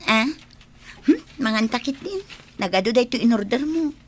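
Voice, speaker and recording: A woman's voice: on the high side, expressive, normal speed, a little hiss or hum, close to an everyday voice. An actress playing a friendly young village woman in a radio drama, with an Ilocano accent. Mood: coy. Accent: Ilocano, northern Philippines.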